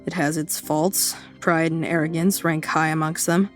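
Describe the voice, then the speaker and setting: A woman's voice: low voice, little pitch movement, normal speed, soft background music, lightly acted. A woman acting a brash, foul-mouthed believer in an audio drama, blunt and sarcastic. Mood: blunt, exasperated.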